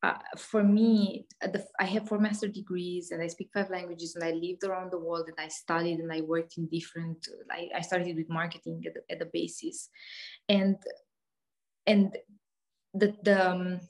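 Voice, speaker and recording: A woman in her thirties speaking English with a Romanian accent. Her voice sits a little low. She talks casually as a podcast guest, laughing often and restarting her sentences with many fillers. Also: level tone, normal speed. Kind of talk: casual interview talk.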